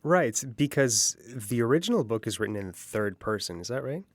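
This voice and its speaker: A man's voice: medium pitch, big pitch swings, normal speed, dry recording, studio quality. A man co-hosting an interview show, discussing books in a conversational way. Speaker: co-host, interviewer.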